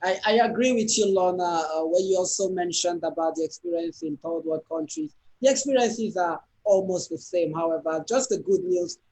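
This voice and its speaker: A man's voice: high voice, moderate pace, hardly any pauses, darkish tone, low-quality recording. A man hosting an interview, introducing his guest with enthusiasm and praise. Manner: enthusiastic, complimentary. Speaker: host. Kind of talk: interview host.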